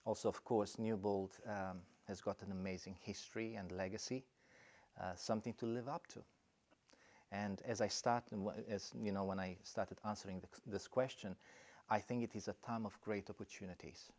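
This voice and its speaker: A middle-aged man from Romania speaks in a low voice, thinking aloud as he answers. He pauses often and restarts his sentences, with plenty of fillers along the way. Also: fairly even intonation, a little mellow. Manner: thoughtful, halting, many fillers and restarts. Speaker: college principal. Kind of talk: interview answers.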